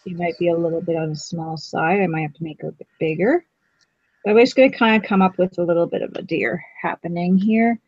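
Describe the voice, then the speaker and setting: A woman's voice: on the low side, steady tone, fast talker, husky, no echo, lo-fi sound. A woman co-hosting a craft stream, chatting casually and teasing herself as she paints. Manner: chatty, giggly, self-teasing. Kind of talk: casual chat while painting.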